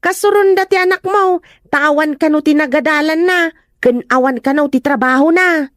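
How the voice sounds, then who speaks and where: Woman acting a high-pitched, prying village woman. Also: normal speed, no room sound, clean studio sound, natural voice. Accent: northern Philippine. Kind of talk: acted audio drama.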